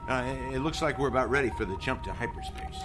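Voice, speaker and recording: An actor playing a gruff, wry starship captain in a radio drama, casual, hesitant and sardonic. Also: male voice, medium pitch, quick pace, music in the background, natural voice. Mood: awkward, casual.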